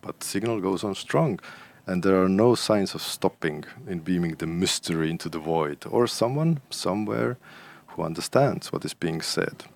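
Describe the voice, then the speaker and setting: A man's voice: low-pitched, animated, normal speed, creaky. A man hosting a podcast in casual chat, often repeating words as he searches for the next one. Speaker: podcast host.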